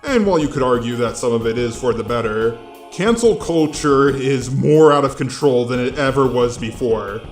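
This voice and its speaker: A man's voice: mid-range voice, lively intonation, moderate pace. Robot voice guy doing scripted commentary, echoey, bit of music behind. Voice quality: synthetic robot voice. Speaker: host of a video commentary channel. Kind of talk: scripted video essay commentary. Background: faint background music.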